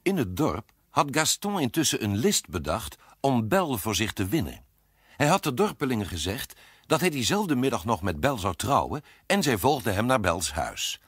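Very expressive male storybook narrator. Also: medium pitch, moderate pace, husky, dry recording, studio quality.

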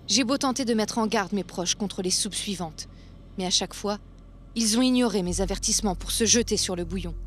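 Woman narrating a dark tale over music. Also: mid-range voice. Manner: literary, solemn storytelling. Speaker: storyteller. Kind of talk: scripted narration of a dark satirical folk tale.

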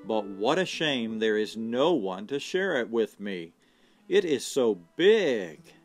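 A man voicing a gentle, house-proud female squirrel with a sweet, wistful manner in a storybook reading. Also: fairly low pitch, very animated, slow, soft background music.

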